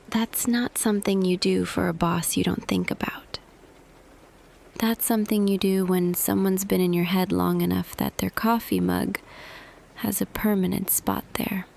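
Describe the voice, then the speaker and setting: A woman playing a tender, quietly smitten office boss in an intimate ASMR roleplay, speaking softly and deliberately, often half whispering. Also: mid-range voice, creaky. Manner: slow, hushed, deliberate, pausing. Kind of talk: ASMR roleplay audio, intimate scripted monologue.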